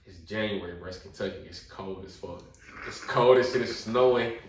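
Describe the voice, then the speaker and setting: A young man, telling a story in casual slangy podcast talk and acting out quoted lines. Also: moderate pace. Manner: animated, slangy, acts out quotes in a high voice. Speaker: podcast guest. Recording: roomy echo, distant mic.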